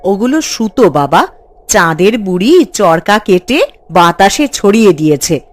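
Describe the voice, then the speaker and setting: A woman's voice: mid-range voice, very animated, measured pace. A woman voicing a doting city wife and mother visiting a village in a cartoon. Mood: gentle. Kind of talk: cartoon character dialogue.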